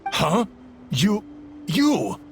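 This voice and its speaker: Stammering, animated ship owner. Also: male voice, high voice, very slow, gravelly, faint music behind, heavily acted. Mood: startled. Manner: stammering, stiffly formal. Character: awkward, blustering male ship owner.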